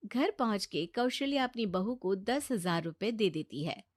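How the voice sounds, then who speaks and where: A woman's voice: mid-range voice, expressive, unhurried. A woman reading scripted narration for a cartoon bedtime story.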